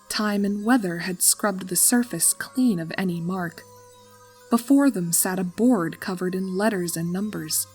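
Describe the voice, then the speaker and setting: A woman narrating a spooky fan story in a calm, even reading voice, with faint music underneath. Her pitch sits in a middle range.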